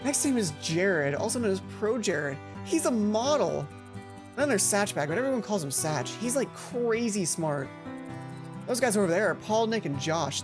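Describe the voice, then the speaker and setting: A let's player puts on a teenage academy schoolgirl from a visual novel, gossipy and boy-crazy. The voice sits at a medium pitch and the talk is chatty, dishy and confiding. Game music runs behind it. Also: lightly acted.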